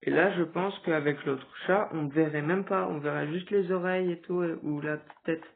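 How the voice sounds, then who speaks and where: A man with a cold giving hesitant, casual commentary over a game, with sudden excited outbursts. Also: fairly high pitch, moderate pace, creaky, slight background noise. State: stuffy with a cold. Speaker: gaming video creator. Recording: tinny phone-like recording.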